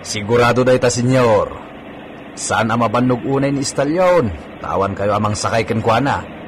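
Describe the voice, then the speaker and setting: A man's voice: mid-range voice, normal speed, slight background noise, close to an everyday voice. A man playing a cheerfully deferential, folksy servant in an Ilocano radio comedy-drama, colloquial and reassuring. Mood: eager, reassuring.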